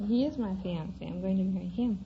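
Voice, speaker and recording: A woman's voice: medium pitch, quick pace. A young American woman answering a host informally as a contestant on a radio quiz show. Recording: noisy, muffled old radio recording.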